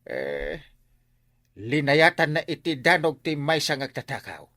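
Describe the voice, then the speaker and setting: A man with an Ilocano accent playing a boastful, excitable village man in a radio comedy drama, exaggerated and comic, with vocal sound effects. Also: on the high side, a little slow, no echo, clean studio sound, full character voice. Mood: boastful, animated.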